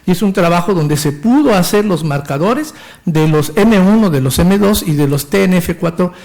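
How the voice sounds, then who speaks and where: A man in his fifties with a Mexican Spanish accent lectures on medicine in a high, bright voice. He talks eagerly and technically, and sometimes stumbles and restarts a word before going on. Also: lively intonation, moderate pace, creaky. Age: 50s. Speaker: physician lecturer.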